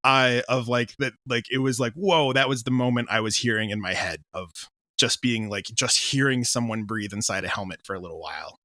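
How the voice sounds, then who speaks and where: A man with a bright, crisp-edged voice of medium pitch, recorded very dry. He chats casually as a creative guest being interviewed. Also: brisk, no room sound. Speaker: audio drama creator, writer, interview guest.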